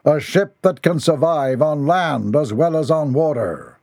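A man's voice: a little high, lively intonation, unhurried, a little mellow, dry recording, studio quality, voice pushed up. A man voicing a solemn, ominous clan leader in a storybook, with a portentous, declamatory delivery. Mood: commanding. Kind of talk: storybook character voice.

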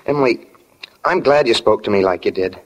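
An actor playing an earnest, bashful small-town teenage boy in love, halting and sincere, in a 1940s radio drama. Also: fairly low pitch, expressive, rough recording. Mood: eager, bashful.

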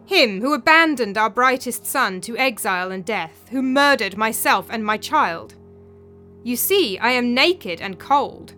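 A woman's voice: a little high, lively intonation, unhurried, bright, forceful tone, soft background music. A woman voicing the bitter, scornful ghost of a murdered wife in a dramatic story, imperious and accusing.

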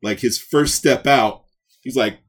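A man's voice: medium pitch, measured pace. A man co-hosting a podcast in casual chat, slangy and enthusiastic. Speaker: podcast co-host. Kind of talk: casual chat, riffing on movie ideas.

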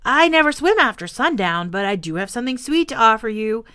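A woman voicing a sly, greedy crocodile with a slow drawl. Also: fairly high pitch, very animated, pushed and bright, clean tone, pitched above the performer's own voice. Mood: coaxing.

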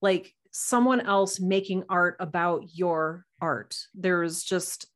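A woman guest on a podcast, telling personal anecdotes in a casual, animated and halting way over a video call. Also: on the low side.

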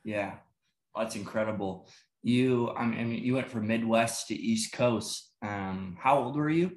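A man's voice: fairly low pitch, measured pace. A man hosting a podcast interview, upbeat and casual, hyping his guest and laughing often. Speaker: podcast host, pastor. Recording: roomy echo.

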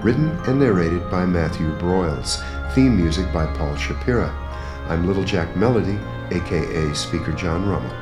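Low voiced guy doing radio show credits, echoey, guitar music playing. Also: animated, moderate pace. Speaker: announcer. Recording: roomy echo, guitar music behind.

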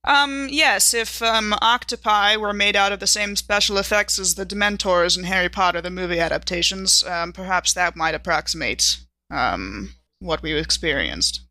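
Bright-voiced woman playing a tabletop game. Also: medium pitch, normal speed, dry recording. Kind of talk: casual in-character game chat.

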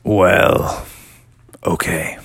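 A man voicing a menacing, imperious guardian of a treasure cave in a scary, drawn-out voice for a children's story. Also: low voice, steady tone, a bit bright, husky, dry recording, voice pushed down, exaggerated voice. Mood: grudging. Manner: commanding, theatrical, imperious. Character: booming, menacing mystery voice guarding a skull-shaped cave's treasure.

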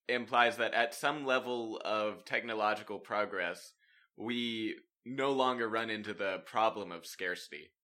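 Male podcast co-host, low, very bright tone, jokey. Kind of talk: casual discussion podcast.

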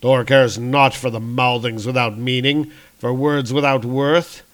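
A man's voice: medium pitch, fairly even intonation, unhurried, natural voice. A man voicing a noble, righteous thunder god in a comic-book story, with solemn declamatory archaic speech. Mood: solemn defiance.

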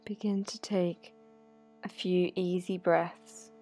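A woman guiding a sleep meditation in a soothing, unhurried, gently instructive manner. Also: fairly low pitch, level tone. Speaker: yoga nidra meditation guide. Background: soft sound healing instrumental music.

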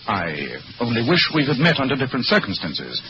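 An actor playing a courteous, probing gentleman detective in an old radio mystery. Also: mid-range voice, huge pitch swings, a little fast, muffled recording, noisy background.

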